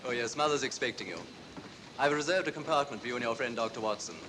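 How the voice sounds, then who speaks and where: A man plays a polite English gentleman in a 1940s film. His pitch is average and he speaks quickly in courteous upper-class phrases. There is clear noise on the old soundtrack. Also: close to an everyday voice. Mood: polite, eager. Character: polite, well-bred English gentleman, anxious client.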